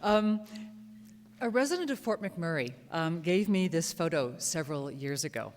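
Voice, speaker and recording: A woman professor with a cold giving an academic conference talk, measured with frequent ums. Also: deep voice, animated, a little mellow. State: has a cold. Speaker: professor presenting research. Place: lecture hall. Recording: reverberant lecture hall.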